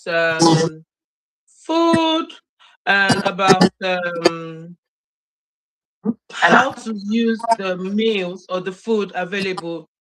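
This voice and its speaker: A young woman talks about herself over a video call. She speaks at an unhurried pace with a middle pitch. She laughs often and fills pauses with "um". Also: noisy background. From Nigeria. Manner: chatty, laughs often, many fillers. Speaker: guest. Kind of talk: casual interview storytelling.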